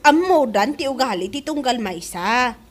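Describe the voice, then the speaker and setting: A woman's voice: a little high, animated, moderate pace. A woman playing a chatty, proud middle-aged mother who gossips, in a comedy radio drama with an Ilocano accent. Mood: self-satisfied. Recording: music under the voice.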